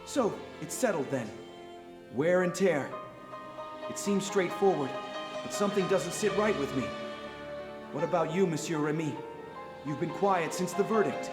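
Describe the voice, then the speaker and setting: A man's voice: fairly high pitch, measured pace, pauses often, close to an everyday voice. A man playing a young, doubtful, probing inspector in an audio drama. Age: young adult. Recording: echoey room with music behind.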